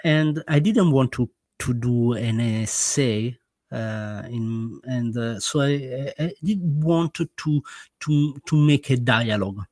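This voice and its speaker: A man's voice: medium pitch, slow pace, a little mellow, no room sound, studio quality. A man from Italy with an Italian accent, a guest in an interview, talking in a hesitant way full of fillers and restarts. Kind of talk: conversational interview.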